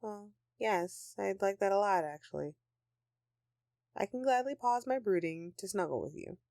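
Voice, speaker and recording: A woman's voice: mid-range voice, expressive, normal speed, gravelly, warm tone, no echo. A woman playing a grumpy but affectionate Christmas elf in an intimate audio roleplay, with a sarcastic, dramatic, sighing delivery. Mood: softened, loving.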